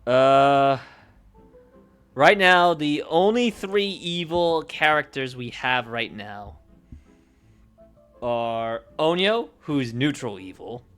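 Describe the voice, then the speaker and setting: A man livestreaming casually, thinking aloud in a halting way and often trailing off mid-thought. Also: medium pitch, lively intonation, fairly bright tone, clean tone, faint music behind. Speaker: streamer.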